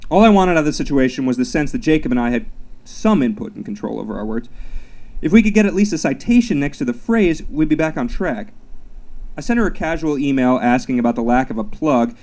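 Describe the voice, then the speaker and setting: A man reading his own first-person comic essay at a live literary reading, in measured, deliberate phrases with mock-serious emphasis. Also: mid-range voice, darkish tone, low-quality recording. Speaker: author reading own work.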